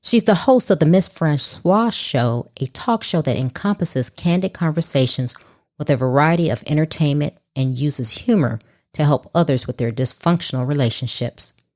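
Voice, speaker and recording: A woman's voice: sits low, lively intonation, moderate pace. A woman hosting a podcast, reading a scripted intro and then chatting in an effusive, upbeat way. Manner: warm and effusive, laughs easily. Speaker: podcast host.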